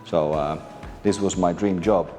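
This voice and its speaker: A man in his thirties from Finland, a maritime lecturer answering interview questions in a relaxed way, with frequent fillers and restarts. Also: sits low, unhurried, long reverb tail, soft background music. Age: 30s. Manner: hesitant, many fillers and restarts.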